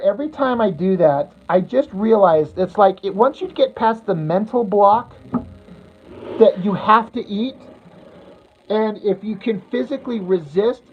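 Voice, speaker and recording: A woman livestreaming casually to her viewers, rambling bluntly and crudely as she answers their comments. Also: mid-range voice. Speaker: livestreamer chatting with viewers while drawing. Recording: tinny phone-like audio.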